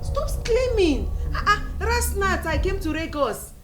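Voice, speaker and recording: An actress with a Nigerian accent playing a clingy, brazen young wife figure, theatrical and insistent in pidgin-flavoured English. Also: female voice, very high-pitched, sing-song, a little slow, a crowd in the background, full character voice. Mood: coaxing. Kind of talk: broad comic screen acting. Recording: echoey room with loud music.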